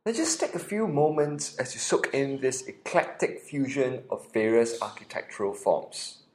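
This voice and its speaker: A man's voice: medium pitch, very animated, normal speed, darkish tone, echoey room, low-quality recording. A man with a Singaporean accent, hosting a podcast and narrating a scripted audio walking tour, guiding the listener step by step. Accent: Singaporean English.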